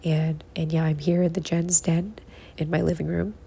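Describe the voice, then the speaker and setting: A woman hosting a solo podcast from home, giving encouraging, conversational tips in a hushed, early-morning voice. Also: deep voice, little pitch movement, normal speed, creaky, slight background noise. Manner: encouraging, conversational, repeats phrases for emphasis. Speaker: podcast host. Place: living room at home.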